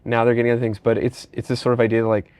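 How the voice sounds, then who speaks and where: A man's voice: medium pitch, completely flat delivery, very fast, darkish tone, clear, resonant tone. A middle-aged man hosting a conversational podcast interview, casually asking questions and rambling through anecdotes. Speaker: podcast host, company leader.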